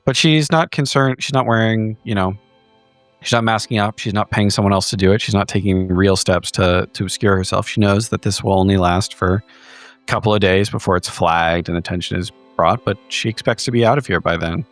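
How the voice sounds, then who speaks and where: A man narrating his character's actions in a tabletop horror game, descriptive and measured, with pauses. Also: on the low side, no room sound, faint music behind. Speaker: player.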